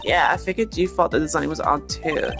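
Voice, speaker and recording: A woman's voice: deep voice, fairly quick, gravelly, over music. A woman voicing an airy, cheerfully oblivious young woman in a video game, speaking in a casual, breezy way. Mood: airy, teasing.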